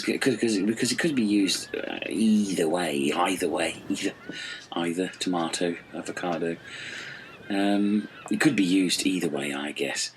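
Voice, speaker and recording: A man playing a dazed, dreamy castaway in a comedy audio sketch, rambling haltingly and repeating words. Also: low-pitched, a little hiss or hum, poor audio.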